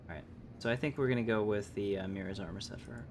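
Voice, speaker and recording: A man's voice: fairly low pitch, fast talker, darkish tone, a little hiss or hum. A man giving casual live gameplay commentary, musing aloud and hesitating as he thinks through choices. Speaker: game livestreamer, host.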